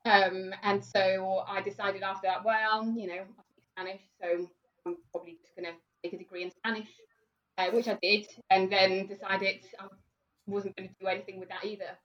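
A woman's voice: medium pitch, little pitch movement, moderate pace, fairly bright tone. A woman from Denmark, a podcast guest in her thirties, chatting casually with lots of ums and laughs. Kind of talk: casual conversation. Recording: dull lo-fi sound.

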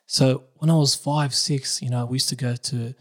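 A man's voice: mid-range voice, fairly even intonation, normal speed. Young guy from iraq, laid back storyteller, throws in arabic phrases. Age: young adult. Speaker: religious reciter guest. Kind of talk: casual podcast interview.

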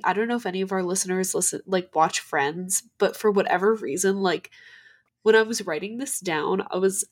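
Young female co-host, cold-stuffed nasal tone, casual storyteller with lots of fillers. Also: medium pitch. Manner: chatty, many "like" fillers and restarts. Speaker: podcast co-host. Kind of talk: casual chat about ghost stories.

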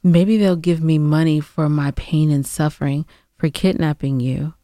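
A woman's voice: deep voice, steady tone, moderate pace, dark tone, dry recording, clean studio sound. A woman co-hosting a podcast in casual banter, sassy and playfully argumentative. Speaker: podcast co-host.

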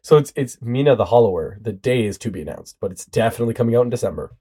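A man's voice: mid-range voice, rapid-fire, breathy edge. A young man with a stuffy nose from a cold, hosting a casual podcast chat with friends and joking around. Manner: joking, rambling, animated. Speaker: podcast host.

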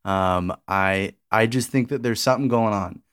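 A man's voice: fairly low pitch, normal speed, a bit bright, clear, resonant tone. A man co-hosting a sports podcast in casual, conversational chat. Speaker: podcast co-host.

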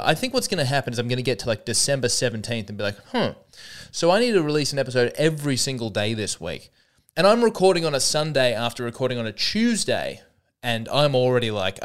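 A man's voice: mid-range voice, clean tone. A man hosts his own comedy podcast solo. His voice sits in a middle register but swoops up and down a lot as he jokes. He rambles with dry sarcasm and mocks himself along the way. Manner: sarcastic, rambling, self-deprecating.

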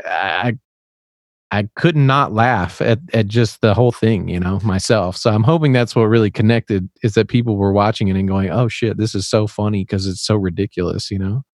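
A man's voice: lowish voice, moderate pace, no echo, studio quality. A man from Tennessee with a light Southern US accent, chatting as a podcast guest in a loose, rambling way full of fillers. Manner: rambling, relaxed, many fillers. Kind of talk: casual podcast conversation. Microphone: remote call.

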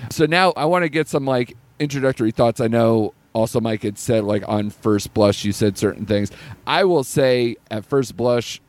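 A man's voice: medium pitch, moderate pace, dry recording. A man hosting a casual podcast about a new film, laughing often as he reads out the plot. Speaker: podcast host. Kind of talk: casual film review chat.

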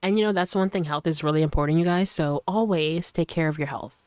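Woman, podcast host, slightly hoarse, lowish voice, casual and rambling, earnest, dry close mic. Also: fast talker, darkish tone, no echo. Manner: loose and chatty, full of fillers; earnest and encouraging. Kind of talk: casual solo podcast talk. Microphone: studio microphone.

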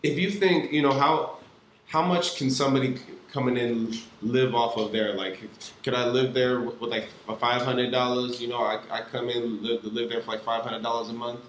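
A man's voice: medium pitch, steady tone, normal speed. A man hosting a casual podcast conversation, talking in slang and often repeating words as he goes. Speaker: podcast host. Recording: echoey room with faint background noise.

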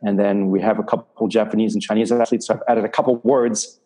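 A man who grew up in Germany and Spain, a coach answering interview questions in a measured way with frequent fillers. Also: on the low side, little pitch movement, mellow, rough recording. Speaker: athletics coach.

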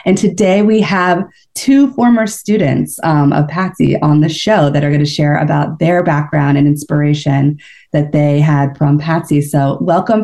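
Low-voiced woman podcast host. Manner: warm scripted intro, then friendly prompting questions. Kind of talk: interview podcast.

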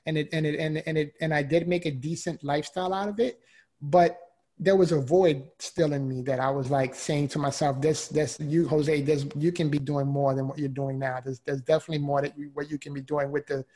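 Energetic, emphatic male motivational speaker. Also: a little high, a little fast. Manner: energetic, emphatic, repeats words for drive. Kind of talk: interview answer, personal story.

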